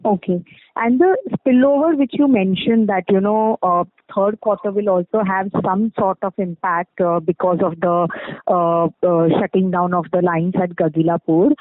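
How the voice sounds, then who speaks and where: A woman's voice: mid-range voice, moderate pace, no room sound. A woman with an Indian accent asking business questions as an analyst on a phone call, in a hesitant, rambling way. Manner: hesitant, rambling phrasing with frequent "you know". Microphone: telephone handset. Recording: tinny narrow phone line.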